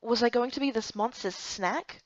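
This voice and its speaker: Casting: female story narrator, fairly high voice, scripted delivery, very dry home recording. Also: normal speed, no room sound, muffled recording. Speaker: game playthrough narrator.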